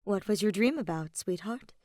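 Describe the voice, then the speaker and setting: A woman voicing a gentle, quietly strong wife and mother, soft, tender and deliberate in an audio story. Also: mid-range voice, animated, dry recording, clean studio sound, natural voice.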